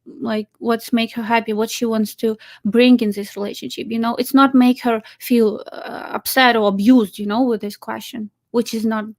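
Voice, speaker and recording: A woman's voice: a little high, flat delivery, normal speed. A woman from Ukraine with a Ukrainian accent, a matchmaker talking casually with many fillers, restarts and laughs. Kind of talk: casual chat.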